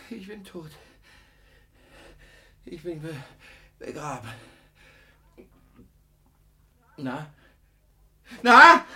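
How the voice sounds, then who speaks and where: A miserly old master in a radio play, with a very high, bright, forceful voice. He stammers, wails and rants in frantic despair, as if all the world had robbed him. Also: a little hiss or hum, heavily acted. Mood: distraught, muttering, then frantic, raging. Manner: stammering, wailing, ranting. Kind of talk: classic German radio play acting.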